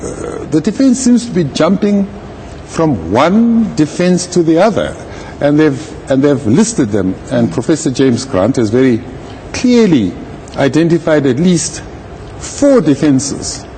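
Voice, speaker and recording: Measured male legal commentator, lively intonation. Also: fairly high pitch, a little hiss or hum, low-quality recording. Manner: measured, deliberate, formal wording. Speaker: legal commentator, retired judge. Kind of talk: live interview commentary on a court case.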